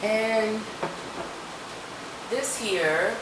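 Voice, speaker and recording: A woman's voice: medium pitch, drawn-out words, noisy background. A woman from Ecuador whose first language is Spanish, chatting casually and rambling as she sets out ingredients in her home kitchen, often correcting herself. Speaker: home cooking vlogger. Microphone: camera mic, speaker off screen.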